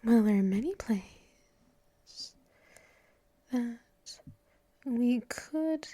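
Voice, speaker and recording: A woman playing a doting, teasing, gently dominant mistress in an ASMR roleplay, breathy and mostly whispered, cooing close to the microphone. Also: a little high, animated, no room sound, full character voice. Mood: playful, affectionate. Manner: slow, cooing, teasing, drawn-out pauses and hums. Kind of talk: unscripted ASMR roleplay, addressed to the listener.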